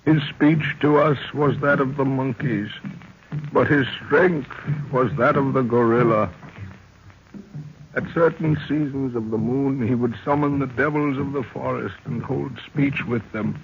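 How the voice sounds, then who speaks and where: An actor playing a dying, wise old African village elder, speaking solemnly and archaically in an old-time radio drama. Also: male voice, medium pitch, steady tone, close to an everyday voice. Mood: grave, foreboding. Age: older. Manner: solemn, slow, archaic storytelling. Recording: dull, noisy vintage radio recording.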